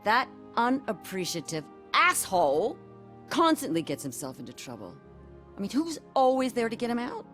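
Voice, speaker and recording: An actress playing a worried, bitter wife in a video game, talking in a blunt, plain-spoken way. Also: female voice, on the high side, very animated, moderate pace, a bit bright, faint music behind. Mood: bitter, exasperated.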